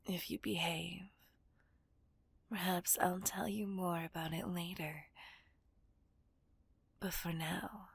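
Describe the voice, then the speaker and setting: A woman playing a menacing, dominant villain kidnapper in a whispered ASMR roleplay, commanding and mocking in a breathy hush close to the microphone. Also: fairly low pitch, steady tone, slow, long pauses, full character voice. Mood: smug, taunting.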